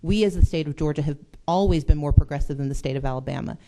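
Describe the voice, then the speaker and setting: A woman's voice: low voice, quick pace, mellow. A woman legislator giving an earnest, persuasive speech in a committee hearing. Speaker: state legislator.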